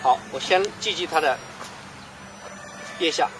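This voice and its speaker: A man's voice: on the high side, slow. A man demonstrating martial arts self-defense, emphatic and asking rhetorical questions. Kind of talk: demonstration explanation. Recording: outdoor clip with traffic noise.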